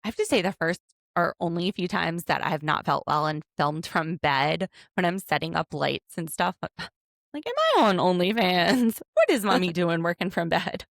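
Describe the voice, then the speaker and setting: A woman in her thirties hosting a casual podcast from home, chatty and quick to laugh as she picks apart a reality show. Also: lowish voice, gravelly, dry recording, clean studio sound. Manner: chatty, laughs often, overanalyzing. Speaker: podcast host, attorney.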